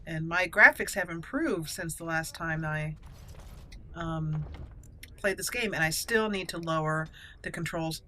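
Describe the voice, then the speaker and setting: A woman's voice: low voice, unhurried, pushed and bright. A woman giving casual, chatty, playful commentary as she hosts a let's play gaming video.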